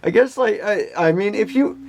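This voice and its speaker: A man's voice: high-pitched, expressive, normal speed. A young man co-hosting a podcast in casual comedic banter, joking and wandering off on tangents. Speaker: podcast co-host.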